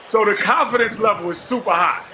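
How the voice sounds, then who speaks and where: A man on a sports panel asking a question in a lively, playful way. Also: high voice, a little fast, background noise.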